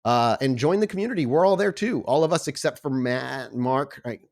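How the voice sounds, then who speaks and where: A man with an average-pitched voice speaks as a podcast host and game storyteller. His delivery is informal and conversational, like someone chatting off the cuff with friends at the table. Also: brisk, darkish tone. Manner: informal, chatty, swears casually. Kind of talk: casual podcast intro, then improvised tabletop game narration.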